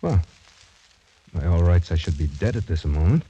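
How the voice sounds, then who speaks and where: An actor playing a proud, formal young French gentleman in dramatic mid-century radio style. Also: sits low, animated, moderate pace, husky, highs cut off. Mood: tense, bewildered. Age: young adult. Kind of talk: 1940s-50s radio acting. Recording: noisy vintage radio drama recording.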